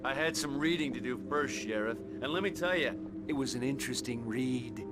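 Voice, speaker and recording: An actor playing a taunting, hot-tempered, drunken federal agent in a thriller game. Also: male voice, mid-range voice, a little fast, natural voice. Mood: gloating. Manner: taunting, mocking. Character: sneering, volatile drunken male federal agent. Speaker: FBI agent antagonist. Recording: clear music behind voice.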